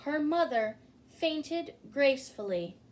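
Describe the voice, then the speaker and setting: A woman storyteller giving an expressive children's read-aloud, addressing young listeners and sometimes putting questions to them. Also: sits high, slow pace. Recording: faint background noise.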